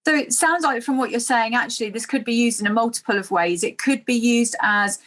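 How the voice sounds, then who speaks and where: A woman's voice: on the high side, a little fast, fairly bright tone. A woman hosting an online webinar on a video call, encouraging her guest and summing up what was said. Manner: encouraging, summarizing. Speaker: webinar host.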